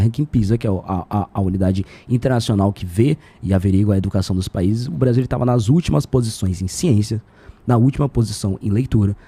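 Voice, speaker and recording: A man's voice: mid-range voice, dark tone, slightly rough. A Brazilian man in casual political discussion, speaking colloquially and repeating himself for emphasis.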